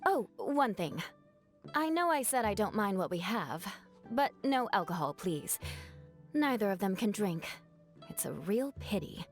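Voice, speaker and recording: A woman's voice: medium pitch, very animated, a little slow, soft background music. A woman voicing a poised, wry military woman with a formal, assured manner in an anime-style video game. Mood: firm.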